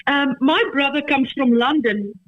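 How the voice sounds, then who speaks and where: A woman's voice: high voice, a little slow. Woman on a dull call line. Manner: soft, brief, grateful replies. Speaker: guest on a call.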